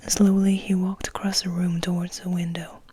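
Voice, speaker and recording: A woman whispering softly and slowly as an ASMR host, in a hushed manner with gentle pauses. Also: lowish voice, flat delivery. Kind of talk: whispered, soft-spoken ASMR. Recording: noticeable background noise.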